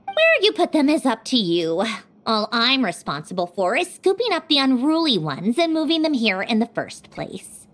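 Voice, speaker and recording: A woman's voice: on the high side, very animated, normal speed. A woman playing an aloof, teasing young woman with dry wit and a curt, haughty manner in an anime game.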